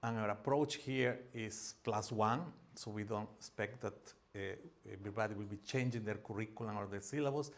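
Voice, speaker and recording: Middle-aged man, Peruvian accent, slightly low voice, halting academic seminar delivery with frequent fillers. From Peru. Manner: hesitant, many fillers and restarts. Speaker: academic presenter. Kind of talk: seminar presentation.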